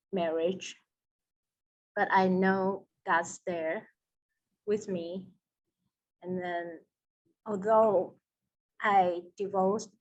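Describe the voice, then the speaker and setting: Taiwanese woman talking slow and careful on a zoom call, lowish voice, her english not her first language. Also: low-quality recording. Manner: slow and careful, with some non-native grammar. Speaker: seminary student, interview guest. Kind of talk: interview over video call. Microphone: video call.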